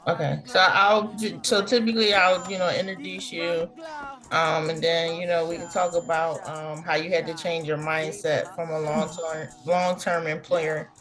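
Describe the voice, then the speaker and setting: Low-voiced woman hosting a casual interview. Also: level tone, moderate pace, fairly bright tone. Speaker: show host and interviewer.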